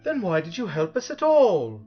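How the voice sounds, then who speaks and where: A man's voice: high voice, wildly swooping pitch, moderate pace, muffled recording, soft background music, pitched above the performer's own voice, full character voice. A man voicing an earnest young boy from a storybook, protesting indignantly. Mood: bitter, angry.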